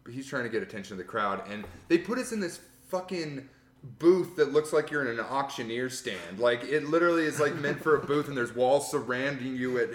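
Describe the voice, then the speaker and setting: A man, a stand-up comedian, telling rambling, profane stories from his early sets in a casual chat, with a very loud voice. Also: mid-range voice. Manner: rambling storyteller, profane, laughs often. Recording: roomy echo.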